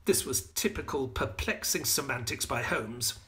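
A man playing a courteous, excitable gentleman sidekick who narrates an audio play in an expressive, polite way. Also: mid-range voice, moderate pace. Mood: measured storytelling. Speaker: actor and narrator.

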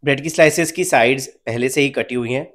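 A young man calmly narrating a home cooking recipe step by step as the channel's host. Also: medium pitch, fast talker. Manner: calm, step-by-step instructional.

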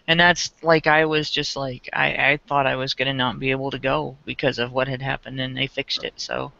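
Woman, podcast co-host, jokey, halting, bright tense tone, dull call audio. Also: a bass voice, little pitch movement, creaky. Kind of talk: casual podcast chat. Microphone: video call.

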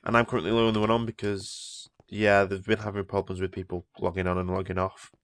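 A man's voice: low voice, little pitch movement, fast talker, no room sound, clean studio sound. A man who is ill, rambling casually through gameplay commentary and easily impressed by what he sees. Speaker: Minecraft let's player.

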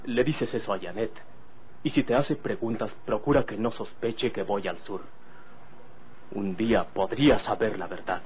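An actor playing a secretive, headstrong young gentleman with a Mexican accent, in a noisy old radio drama. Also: lowish voice, moderate pace, close to an everyday voice. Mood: tense, secretive. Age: young adult. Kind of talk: golden-age radio drama acting.

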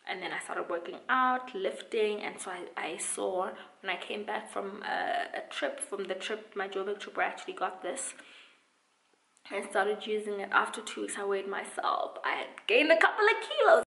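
A woman's voice: mid-range voice, moderate pace, gravelly, a bit bright. A woman in her twenties chatting casually to camera, playful and emphatic, often repeating words for stress. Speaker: vlogger, dancer and dance instructor. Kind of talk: casual vlog chat to camera. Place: small room at home, indoors. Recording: roomy indoor echo.

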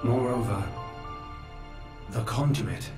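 An actor playing an ancient, world-weary godlike old man, solemn, measured and resigned in a video game cutscene. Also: medium pitch, a little mellow, close to an everyday voice. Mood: grave. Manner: grave, measured, philosophical. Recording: roomy echo, distant, music behind.

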